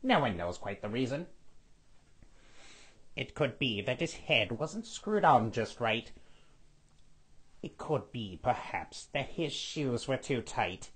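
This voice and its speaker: A man voicing a scheming skeletal villain lord who reads a storybook aloud with a raspy, sniffly voice, stretching out repeated words. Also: medium pitch, fairly bright tone, full character voice. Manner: sing-song storybook reading, drawn-out repeated words.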